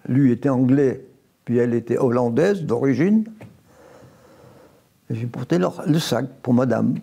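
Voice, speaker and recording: A man in his nineties recounting his life in an interview, unhurried, often restarting and correcting himself. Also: medium pitch, gravelly, dark tone, husky. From France, grew up near Évian after early childhood in Italy. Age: 90s. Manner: unhurried storytelling with frequent restarts and self-corrections. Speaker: veteran interviewee. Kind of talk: oral history interview, recounting memories.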